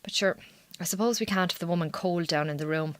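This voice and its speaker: Actress doing a tired irish farmers wife, lowish voice, guarded n curt, radio play, dry sound. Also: female voice, fast talker, fairly bright tone, dry recording, close to an everyday voice. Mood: tired, strained. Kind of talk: naturalistic radio drama dialogue.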